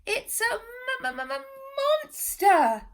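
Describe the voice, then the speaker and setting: A woman voicing a small, frightened male bush baby in a storybook, squeaky, stammering and wailing. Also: sing-song, takes it slowly, creaky, heavily acted. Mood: terrified.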